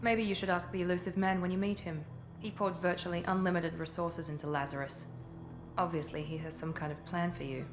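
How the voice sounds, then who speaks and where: Low-voiced, coolly ruthless female operative played by an actress. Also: fairly even intonation, a little fast, over music, close to an everyday voice. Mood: cool, blunt. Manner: clipped, haughty, businesslike. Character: cool, self-assured, ruthless female covert operative. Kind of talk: video game cutscene acting.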